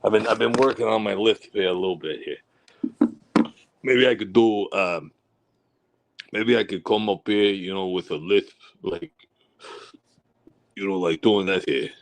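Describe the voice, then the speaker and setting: A man with a lisp, chatting on a live stream from outdoors in the woods in a halting, rambling way full of fillers. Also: fairly low pitch, creaky. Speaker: livestream host. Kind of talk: casual live-stream chat.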